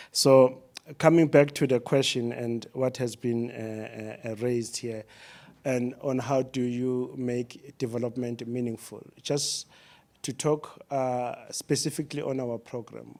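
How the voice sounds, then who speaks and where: A man with a South African accent talks on a conference panel in a mid-range voice. He explains step by step in a measured way and often pauses on "uh" to find his words. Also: medium pitch, vocal fry, a little mellow. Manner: measured, explanatory, frequent uh fillers. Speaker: development program panelist. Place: symposium panel on stage. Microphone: microphone at a panel.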